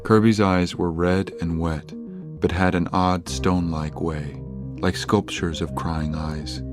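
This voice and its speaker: An actor serving as the narrator of an audio drama, reading scripted scene descriptions. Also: male voice, sits low, steady tone, takes it slowly, music in the background. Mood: measured.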